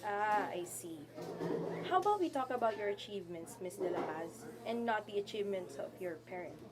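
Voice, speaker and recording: A woman's voice: medium pitch, expressive, normal speed, noisy background, close to an everyday voice. A woman playing a stern, sarcastic college teacher in an amateur radio drama, formal and cutting.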